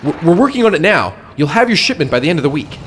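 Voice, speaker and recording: An actor playing an anxious, apologetic underling in a video game spy cutscene. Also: male voice, a little high, sing-song, very fast, noisy background.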